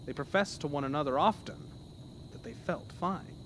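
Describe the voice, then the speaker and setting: A man's voice: fairly high pitch, moderate pace, fairly bright tone, a little hiss or hum. A man narrating a scripted horror story in a dramatic, theatrical manner.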